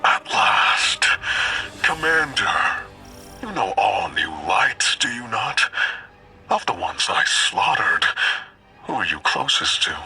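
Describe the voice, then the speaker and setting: An actor playing a menacing zealot warlord in a video game, speaking slowly and grandly in a breathy, rasping half-whisper. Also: male voice, fairly low pitch, sing-song, bright, forceful tone, faint music behind, exaggerated voice. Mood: taunting menace. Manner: slow, deliberate, grandiose, taunting. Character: menacing zealot warlord villain, devoted to a dark power. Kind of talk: cinematic game villain monologue.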